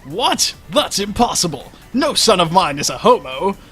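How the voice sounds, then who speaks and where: Melodramatic old father God for a comic tale, performed by a man; emotional, lively pitch, music underneath. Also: moderate pace, husky, exaggerated voice. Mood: outraged.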